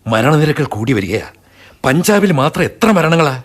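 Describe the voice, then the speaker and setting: A man playing a grave, husky Sikh elder. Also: fairly high pitch, sing-song. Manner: grave, measured delivery. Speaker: narrator and Chani Singh, a Sikh elder. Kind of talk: Malayalam radio drama acting and narration.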